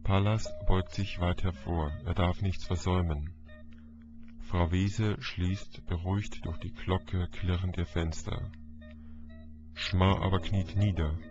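A man narrating a literary story as an audiobook reader, in a dramatic reading style. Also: low-pitched. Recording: dull, band-limited recording. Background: music.

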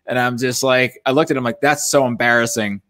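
A man in his thirties telling casual anecdotes in a self-deprecating, halting way with some swearing. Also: mid-range voice, steady tone, a bit bright. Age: 30s. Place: indoors on a group video call.

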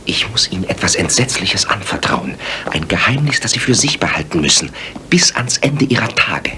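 An actor playing an anxious, loyal gentleman doctor in a radio play, with an earnest, conspiratorial manner. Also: sits low, sing-song, normal speed, a little hiss or hum, exaggerated voice. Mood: grave, conspiratorial. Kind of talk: German radio play acting.

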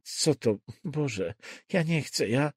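A man's voice: a little high, lively intonation, slow pace, breathy edge, no room sound, clean studio sound, pitched above the performer's own voice, heavily acted. A man voicing a frightened pregnant young woman in an audiobook thriller, pleading in a tearful, stammering way.

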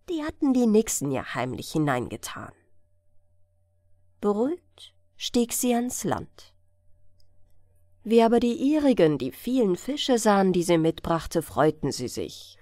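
A woman narrating a bedtime fairy tale audiobook in a gentle, expressive storytelling manner. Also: medium pitch, no room sound, studio quality.

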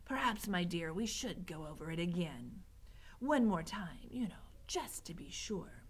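A sinister, suave storybook rat played by a woman reader speaks in a hushed, breathy whisper. He is mock-polite and condescending, choosing formal words with sly care. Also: on the low side, big pitch swings, a little slow, full character voice. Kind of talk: storybook character voice.

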